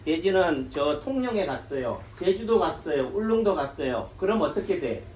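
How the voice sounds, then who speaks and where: A man's voice: a little high, very animated, moderate pace, a little mellow, slight background noise. A middle-aged man from the Gyeongsang region giving an informal health lecture as a Korean medicine doctor, asking rhetorical questions and answering them himself. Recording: echoey room.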